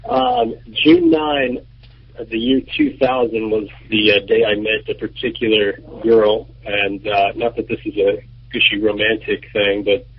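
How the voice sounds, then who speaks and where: A man in his thirties, a band member and producer, answering radio interview questions in a relaxed, rambling way with many fillers. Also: on the low side, unhurried, gravelly, husky. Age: 30s. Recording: muffled lo-fi radio recording.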